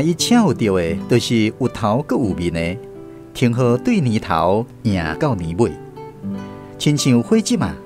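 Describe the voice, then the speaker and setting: A man with a Taiwanese accent hosting and narrating a scripted radio drama. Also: medium pitch.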